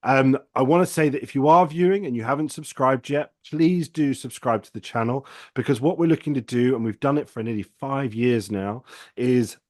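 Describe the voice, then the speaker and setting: A man's voice: mid-range voice, normal speed, dry recording, clean studio sound. A man hosting an interview show, introducing it and addressing viewers and guest.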